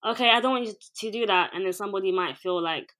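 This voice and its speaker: A young woman from England talks in a calm, level manner, answering interview questions about her work. Her voice sits at an ordinary pitch and she describes her own delivery as monotone. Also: fast talker. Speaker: music video director and producer. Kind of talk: interview guest, casual conversation.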